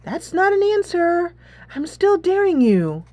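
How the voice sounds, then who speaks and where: A woman voicing a sweet, earnest, eager high school girl from a visual novel game. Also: very animated, unhurried, darkish tone, dry recording, full character voice. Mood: eager, daring. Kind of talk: visual novel character read aloud.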